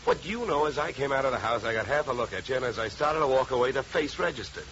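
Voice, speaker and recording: A man's voice: medium pitch, animated, quick pace, a bit bright, natural voice. An American man playing a sardonic, wisecracking insurance investigator in a 1950s radio detective drama. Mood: hearty bluffing. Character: wry, hard-boiled male insurance investigator. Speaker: lead actor and narrator. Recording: muffled old radio recording, music behind.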